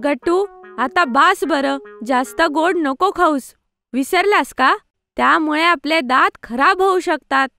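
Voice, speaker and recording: A woman's voice: high-pitched, unhurried, creaky, pushed and bright, exaggerated voice. A woman voicing a cheerful, sensible young girl in a children's cartoon, with an eager, caring manner. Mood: gently scolding. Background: background music.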